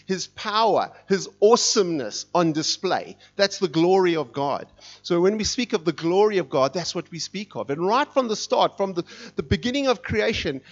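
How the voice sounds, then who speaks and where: A middle-aged man preaching from a church stage, his voice sitting a little high for a man. He talks with a fired-up energy and leans hard into the lines he reads. The sound is dull and muffled, with little sparkle. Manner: energetic, fired up, reads scripture with rising intensity. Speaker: guest preacher. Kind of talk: sermon. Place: church sanctuary.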